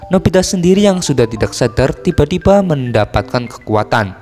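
A man's voice: medium pitch, darkish tone. Man narrating in long reverb. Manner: informal storytelling with casual slang asides. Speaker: film recap narrator. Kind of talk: scripted narration retelling a film plot. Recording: voice-over with long reverb over music. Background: music.

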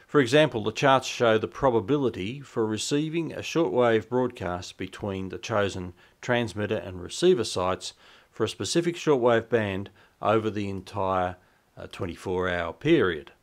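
Aussie guy doing a step by step software tutorial, kinda low voice, measured. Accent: Australian. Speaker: shortwave radio hobbyist, presenter. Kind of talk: instructional software walkthrough narration.

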